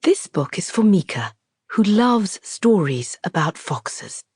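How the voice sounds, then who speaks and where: A woman reading a novel aloud as a scripted literary narration, in a measured, deliberate, expressive storytelling manner. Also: medium pitch, husky, no echo, clean studio sound. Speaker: author narrating her own audiobook.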